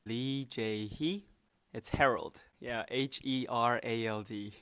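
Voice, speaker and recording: A man's voice: medium pitch, fairly even intonation, takes it slowly, bright, forceful tone, smooth, dry recording. A young male university student giving short, matter-of-fact interview answers. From Korea.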